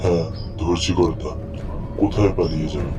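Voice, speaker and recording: An obedient skeleton henchman from a horror cartoon. The voice is very deep, sinister and gloating, with clear music underneath. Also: normal speed. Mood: gloating, menacing. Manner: taunting.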